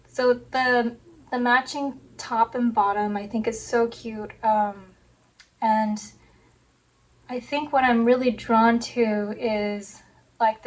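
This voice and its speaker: A woman with a hoarse, recovering voice chats casually about her sewing in a soft, rambling way, full of "like" and "um". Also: on the high side, distant mic. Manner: soft-spoken, rambling, many "like" and "um" fillers. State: hoarse, voice still recovering from laryngitis. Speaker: sewing vlogger. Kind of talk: casual chat to camera. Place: home sewing room. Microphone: phone camera.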